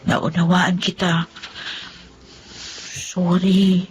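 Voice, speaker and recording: A woman's voice: lowish voice, little pitch movement, extremely slow, a little hiss or hum. A woman with a Filipino accent playing a stubborn, emotional young newlywed wife in a radio drama. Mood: soft, apologetic. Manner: emotional, pleading.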